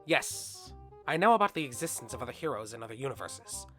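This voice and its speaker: A woman voicing a subdued, wistful hero from a cartoon comic dub in a hushed, confessional way. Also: extremely low pitch, big pitch swings, a little fast, soft background music, voice pushed down, heavily acted. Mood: wistful, envious.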